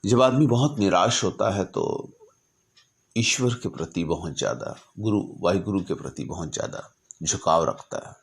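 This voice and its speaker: A man narrating stories informally as an astrologer, speaking deliberately in short phrases broken by pauses. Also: sits low, lively intonation, gravelly. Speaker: astrologer telling client stories.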